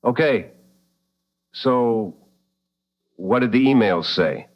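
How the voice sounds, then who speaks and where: A man playing a composed late-night radio call-in host in an audio drama, measured, probing and courteous, in a slightly dull recording. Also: mid-range voice, lively intonation, no echo. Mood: grave, courteous.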